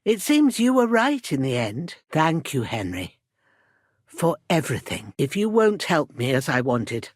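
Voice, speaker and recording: Husky, slow-spoken old village mother. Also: low voice, very animated, no room sound, studio quality, heavily acted. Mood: grateful, solemn. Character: worried old village herbalist mother, plain-spoken and grieving. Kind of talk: dramatic video game dialogue.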